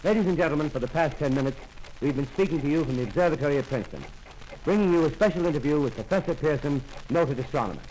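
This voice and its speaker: An actor playing a polite, brisk radio field reporter describing a scene live in a 1930s radio drama. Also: male voice, on the high side, expressive, a little mellow, a little hiss or hum, natural voice, rough recording. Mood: earnest.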